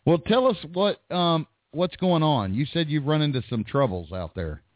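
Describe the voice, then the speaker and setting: A man's voice: on the high side, sing-song, normal speed, dry recording. Chatty male radio host on a tinny line. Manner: chatty, laughs often, frequent ums. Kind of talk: casual call-in radio talk. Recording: dry, tinny phone-like line.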